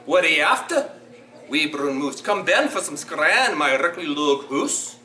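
A man's voice: on the high side, big pitch swings, unhurried, pushed and bright, far from the mic, pitched below the performer's own voice, heavily acted. A man voicing hungry, wily animal predators in Scots dialect, reading a children's story. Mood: luring. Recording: echoey room, faint noise.

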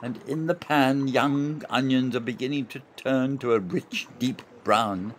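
A man playing a stubborn, gloating older officer in an amateur radio play, savoring his words with emphatic, drawn-out descriptions. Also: mid-range voice, a little hiss or hum, close to an everyday voice. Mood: savoring relish. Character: stubborn, gloating older male soldier-officer relishing imagined food.

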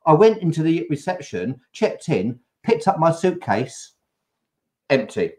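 An older man hosting a live video-call chat, telling a travel story with lively, anecdotal energy. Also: a little high, unhurried. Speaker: host. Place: home room, video call.

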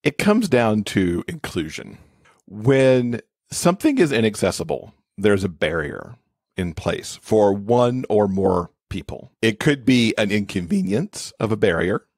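A man speaks as an interview guest in a fairly low voice with lively, varied intonation. He explains his subject conversationally, using everyday examples, and the recording is close and dry. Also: unhurried, dry recording. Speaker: podcast guest, accessibility expert and author.

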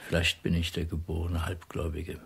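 An actor playing a weary, conscience-torn middle-aged spy in a radio play, with a husky, breathy voice. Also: male voice, low voice, monotone, fairly quick, a bit bright, heavily acted. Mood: weary, subdued. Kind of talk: intimate German radio play acting.

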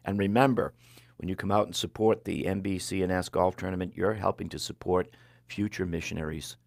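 Deep-voiced older radio host. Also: male voice, vocal fry, dry recording. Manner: warm, measured announcer delivery with slow-read phone numbers. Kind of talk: radio broadcast announcements. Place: small broadcast studio. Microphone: headset mic.

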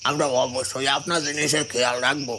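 A man's voice: mid-range voice, steady tone, fast talker, close to an everyday voice. A man playing an obedient, respectful weaver in a fairytale cartoon. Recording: noisy night ambience, frogs and insects.

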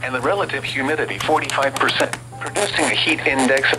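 A man's voice: medium pitch, quick pace, music in the background, rough recording. Male weather announcer through a radio speaker. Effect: radio speaker playback. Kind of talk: formal scripted weather bulletin. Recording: small radio speaker in a room.

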